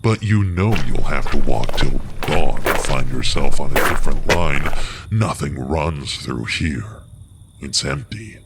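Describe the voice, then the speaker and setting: An actor playing a man's cruel inner voice of self-doubt, slow and mocking, in an audio drama. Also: very deep voice, animated, very noisy, heavily acted. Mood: menacing.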